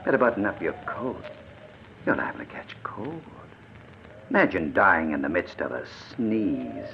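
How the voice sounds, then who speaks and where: A man's voice: deep voice, sing-song, measured pace, vocal fry, heavily acted. An actor playing a sadistic, mockingly polite murderer in an old-time radio thriller, toying with his victim in silky mock concern. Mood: mocking menace. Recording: muffled, noisy vintage radio recording.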